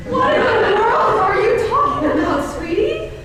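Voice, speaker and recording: High, swooping, gushing aunt played by a young actress. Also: female voice, sing-song, moderate pace. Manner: effusive, sugary, theatrical. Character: gushing, fussy Southern aunt playing matchmaker. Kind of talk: amateur stage acting. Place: indoor school stage, recorded from afar. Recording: roomy far-off stage recording, clear noise.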